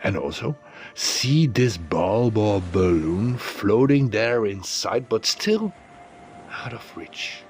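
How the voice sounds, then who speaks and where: A man narrating a guided meditation in a soothing, deliberate way, leaving pauses between phrases. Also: lowish voice, sing-song, a little mellow, soft background music.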